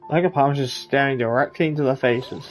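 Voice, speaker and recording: A man's voice: mid-range voice, a little fast, soft background music. A man giving casual commentary as he streams a video game. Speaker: gameplay streamer.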